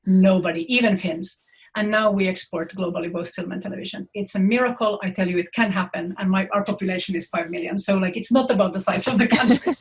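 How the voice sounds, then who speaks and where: Frank, animated woman from Finland on a video call. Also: mid-range voice, fast, rough recording. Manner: frank, animated, with many restarts and repeated words. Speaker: film industry guest speaker. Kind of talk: panel talk on a video call. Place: home room, indoors. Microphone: laptop mic on a video call.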